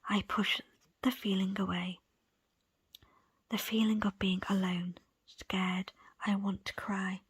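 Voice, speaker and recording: A woman narrating a first-person story as an ASMR audiobook reader, in a breathy, unvoiced whisper. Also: mid-range voice, level tone, takes it slowly, dry recording.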